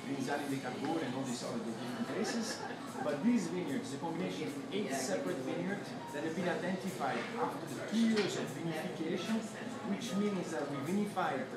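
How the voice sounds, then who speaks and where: Italian-accented man, echoey and distant. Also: fairly high pitch. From Italy. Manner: earnest, halting, with many fillers. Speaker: winery representative. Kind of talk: explaining wines in a tasting. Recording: echoey room with loud noise, mic far off.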